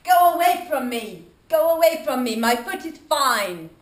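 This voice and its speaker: A man's voice: very high-pitched, big pitch swings, a little slow, roomy sound, voice pushed up, full character voice. A man voicing a defiant, accusing female trapeze artist who repeats her accusations. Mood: angry. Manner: accusing, repetitive.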